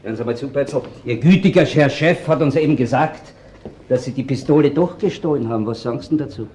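An actor playing a sarcastic, pushy police inspector with an Austrian accent, needling and confrontational, in a radio crime play. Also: male voice, mid-range voice, fast, a little mellow, a little hiss or hum, natural voice. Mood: accusing.

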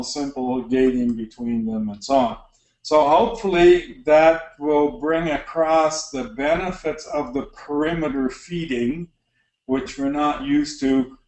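A middle-aged man with a Canadian accent gives a practical slide lecture on barn design, relaxed and full of fillers and restarts. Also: medium pitch. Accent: Canadian English. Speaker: dairy barn design consultant. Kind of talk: lecture narration over slides. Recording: roomy echo.

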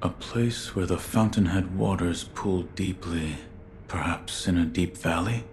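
A grim, reserved male swordsman from a video game speaks in a very deep register. He is deadpan, formal and loyal, sparing with words. Faint ambient noise sits behind him. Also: unhurried. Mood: stoic, solemn.

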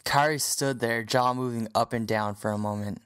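A man's voice: mid-range voice, steady tone, moderate pace, a bit bright, smooth. A man narrating a scripted story as the narrator of an audio drama.

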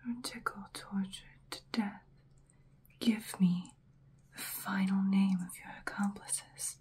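A woman's voice: medium pitch, fairly even intonation, darkish tone, close to an everyday voice. Whispering femme fatale vampire interrogator, played by a woman. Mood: menacing. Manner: slow, teasing, threatening whisper. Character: seductive, menacing female vampire interrogator, playfully sadistic. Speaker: ASMR performer. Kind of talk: whispered ASMR roleplay. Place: small indoor setup before a draped backdrop. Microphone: close ASMR microphone.

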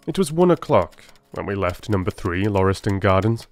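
A man giving a dramatic, deliberate reading of Victorian detective fiction as an audiobook narrator. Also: on the low side, very animated, soft background music. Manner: theatrical, deliberate.

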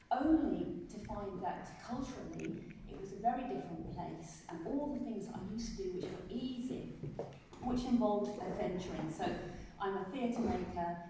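A middle-aged English woman, an author and storyteller, telling lively, self-mocking stories to a seated audience at a writers' event. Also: fairly low pitch. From England. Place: large glass conservatory with a seated audience. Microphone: podium mic heard from the back of the room. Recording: echoey hall from far off, noisy.

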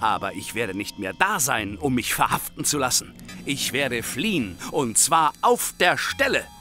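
An actor playing an anxious, cowardly young hotel manager turned thief in a radio drama, agitated and pleading. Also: male voice, medium pitch, big pitch swings, a little slow, sharp tone, faint music behind. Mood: defiant, agitated. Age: young adult.

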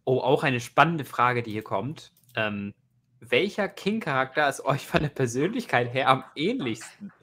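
Man, 20s, relaxed chat host, medium pitch, noisy video-call sound with clicks. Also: moderate pace. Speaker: livestream host. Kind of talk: casual book chat. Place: home room with bookshelves, indoors. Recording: loud noise, clicks, video-call audio.

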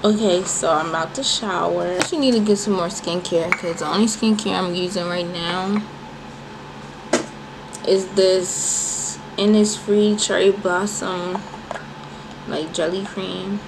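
A woman's voice: on the low side, a little slow, creaky, noisy background. Chatty young woman vlogging in her bedroom. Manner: chatty and animated, addresses viewers as y'all. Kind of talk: casual chatty vlog. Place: small bedroom, indoors. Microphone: handheld phone camera, then propped on desk.

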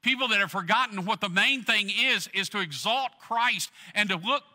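Bright-voiced pastor preaching in a hall. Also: male voice, moderate pace. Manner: earnest preaching, swells loud then drops low. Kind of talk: sermon. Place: large church stage.

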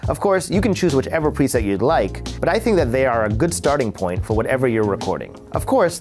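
A middle-aged American man presenting a product review in scripted narration, recorded in a studio. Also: mid-range voice.

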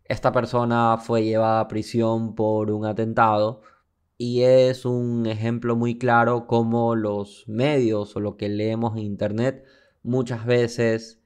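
A man co-hosting a podcast, explaining events in measured narration. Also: fairly low pitch, darkish tone, clear, resonant tone. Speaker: podcast co-host.

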